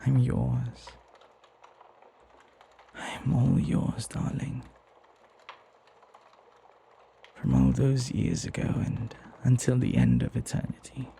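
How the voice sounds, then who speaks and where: A man playing a devoted, flirty butler in an intimate ASMR roleplay, tender and hesitant, often sinking into a breathy whisper. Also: medium pitch, sing-song, slow pace, mellow, slight background noise, exaggerated voice. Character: flirty lovestruck male butler, devoted and deferential.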